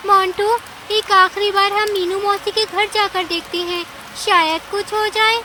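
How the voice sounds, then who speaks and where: A tiny, extremely high-pitched cartoon mouse wife, voiced by a woman, fretful and plaintive. Clear rain noise sits behind her anxious talk. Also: level tone, unhurried, pushed and bright, exaggerated voice. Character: Meethi, anxious young female mouse wife. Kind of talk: Hindi cartoon story dialogue.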